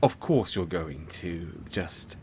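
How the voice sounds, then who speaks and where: Man performing a pompous lovestruck villain, audio roleplay, deep-leaning voice, very slow and drawn-out, grandiloquent, vain. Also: expressive, darkish tone, slight background noise, full character voice. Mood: grand, smug wooing. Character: flamboyant, smug male villain, lovestruck and vain. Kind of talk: audio roleplay acting addressed to the listener.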